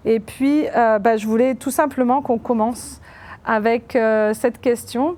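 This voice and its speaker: A woman hosting a podcast interview, asking questions in a relaxed, friendly way. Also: a little high, unhurried. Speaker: podcast host.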